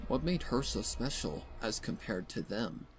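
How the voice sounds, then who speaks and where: A man narrating scripted fan fiction as a dramatic reading, solemn and measured. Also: fairly low pitch, big pitch swings, faint music behind, rough recording.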